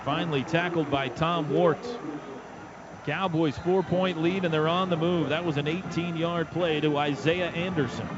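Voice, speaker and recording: Male football play-by-play announcer, somewhat high-pitched, clipped urgent delivery, over clearly noisy stadium broadcast sound. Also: steady tone, moderate pace, highs cut off. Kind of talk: live radio football play-by-play commentary. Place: stadium radio booth.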